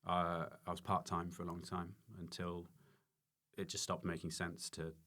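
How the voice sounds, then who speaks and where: A man talking casually as an interview guest, halting and self-correcting, repeating words for emphasis. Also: sits low, completely flat delivery, bright, forceful tone. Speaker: podcast guest, stand-up comedian and former teacher.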